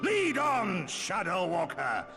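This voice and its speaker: An actor playing a huge, proud, aging samurai retainer in a fantasy game, with a booming gravelly voice and grandiloquent archaic speech. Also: male voice, wildly swooping pitch, takes it slowly, full character voice. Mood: rousing. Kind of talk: theatrical fantasy game acting. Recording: reverberant hall, music underneath.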